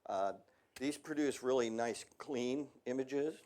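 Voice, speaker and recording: A man's voice: medium pitch, slow pace, a little mellow. A middle-aged man giving a casual technical seminar talk on industrial radiography, with a slightly worn voice and frequent ums. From United States.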